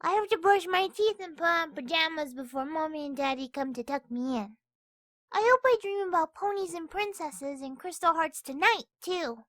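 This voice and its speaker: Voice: very high voice, expressive, normal speed, a bit bright, dry recording. A performer voicing a cheerful, innocent little girl who earnestly narrates her diary in an audio story. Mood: sweet, hopeful. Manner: childlike, earnest.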